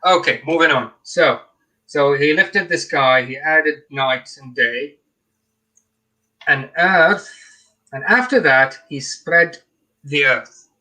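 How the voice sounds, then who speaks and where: Co-host: young Iraqi-accented man, crisp and bright tone, halting delivery full of fillers. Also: fairly high pitch, low-quality recording. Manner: hesitant, many fillers and restarts. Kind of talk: casual commentary on scripture.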